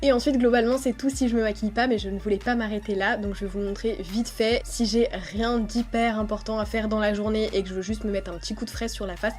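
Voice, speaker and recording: A young woman narrates in a casual, chatty voice-over, her voice stuffy and nasal from a cold. Her pitch sits at a medium height, and she talks in a relaxed way, with little pauses and hesitations. Soft music plays quietly behind her. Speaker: beauty vlogger. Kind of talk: voice-over narration of a skincare routine. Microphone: voice-over recorded separately.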